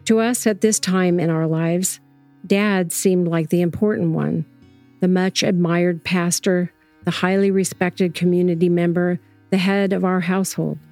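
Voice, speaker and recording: A woman playing a grown preacher's daughter, recalling her childhood in measured, reflective first-person narration for an audio drama. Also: fairly low pitch, steady tone, a little mellow, no echo, faint music behind. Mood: reflective, nostalgic.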